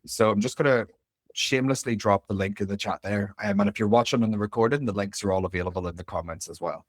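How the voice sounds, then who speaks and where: Northern Irish man, late thirties, career coach on a video call, low voice, dry close sound, chatty with plenty of ums. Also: quick pace, dry recording. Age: 30s. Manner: chatty and informal, many fillers, reads out chat replies. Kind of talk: live webinar presentation. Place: home room.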